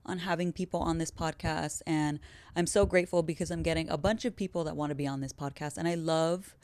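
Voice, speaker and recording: Young female podcast host, talkative and upbeat, slightly low smooth voice. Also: fairly even intonation, a little fast, a little mellow, no echo. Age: 20s. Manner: chatty, upbeat, self-described yapper. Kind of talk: casual solo podcast intro.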